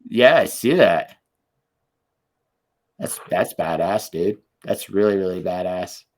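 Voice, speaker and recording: A man hosting a podcast interview over a video call, upbeat and encouraging, talking in quick bursts. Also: low-pitched. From Canada. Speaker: podcast host. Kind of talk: casual interview chat.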